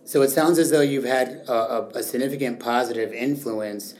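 A young man hosting an educational interview podcast, reading prepared definitions and asking measured questions. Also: mid-range voice, darkish tone, slight background noise. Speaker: podcast host. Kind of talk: semi-scripted educational interview.

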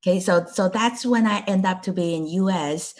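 A woman's voice: on the low side, moderate pace, darkish tone. A middle-aged woman from Taiwan, a native Chinese speaker, chatting as a guest and telling her story with a laugh now and then. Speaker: guest, clinic co-owner. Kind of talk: casual interview chat.

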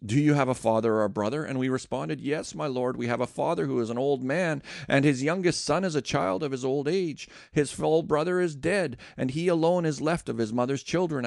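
A man's voice: a little high, vocal fry, no room sound, clean studio sound, pitched above the performer's own voice. A man voicing a pleading man who begs a powerful lord to spare his youngest brother, in an earnest, imploring tone.

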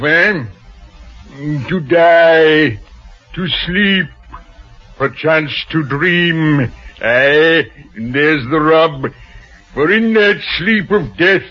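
Slow, tipsy, hammy old actor. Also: male voice, fairly high pitch. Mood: grandly theatrical. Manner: halting, hammy, slurred drunken delivery. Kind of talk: old-time radio drama acting. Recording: muffled old radio recording with music.

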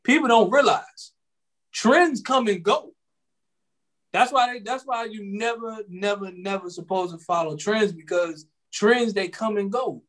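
A man's voice: high voice, unhurried, a bit bright. A man in his late twenties talking casually on a podcast, rambling and slangy, often repeating his words. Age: 20s. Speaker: podcast co-host.

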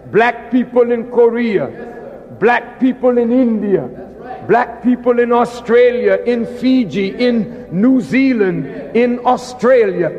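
A man giving a public lecture like a preacher, deliberate and emphatic, with repeated phrases and rhetorical questions. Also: extremely high pitch. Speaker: preacher and orator. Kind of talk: public lecture, oratory to an audience. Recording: dull, reverberant hall recording.

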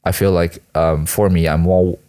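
A man's voice: deep voice, steady tone, normal speed, warm tone, clear, resonant tone. A young man from Malaysia, a student, rambling casually in a hesitant, self-deprecating, slangy way. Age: teens to young adult, school student. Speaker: student vlogger and aspiring actor.

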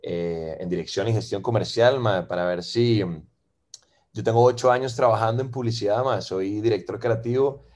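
A Venezuelan-born man in his thirties with a Costa Rican accent, chatting casually as a podcast guest and filling pauses with "ma" and "eh". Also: on the low side. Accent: Costa Rican Spanish. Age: 30s. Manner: relaxed, fills with "ma" and "eh". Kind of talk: casual interview chat.

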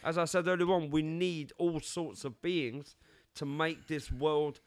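A man in his fifties speaks with a London accent in a casual podcast chat. His talk is animated, swinging between loud and soft, with repeated words as he gathers his thoughts. The sound is dry and close. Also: a little high, unhurried, dry recording. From England. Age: 50s. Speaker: podcast co-host.

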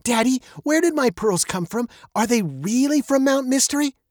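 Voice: high-pitched, expressive, normal speed, darkish tone, no echo, studio quality, voice pushed up. A storyteller voicing an eager, stubborn ten-year-old girl with a pleading, insistent manner for a children's story. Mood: curious.

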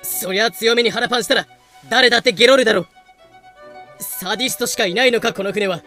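An exasperated, level-headed pirate, acted by a man, with a high, bright voice. He delivers dry retorts in an audio drama. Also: fast talker. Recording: music bed behind voice.

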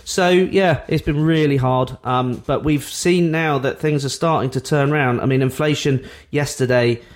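A man's voice: medium pitch, steady tone, normal speed. A man in his forties from England, co-hosting a casual podcast as an estate agent, chatty and blunt, swearing now and then.

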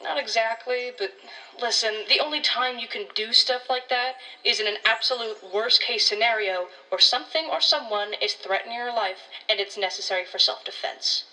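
A woman's voice: a little high, moderate pace, bright, forceful tone, recorded from a distance, rough recording. A woman playing a blunt, condescending ranger colleague in an audio drama, talking in a world-weary, slangy way. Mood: reluctantly apologetic, warning.

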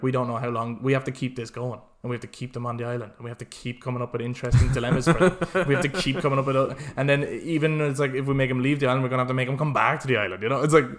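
A man hosting a casual podcast, talking in an animated, rambling, opinionated way. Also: mid-range voice, racing, hardly any pauses. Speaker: podcast host.

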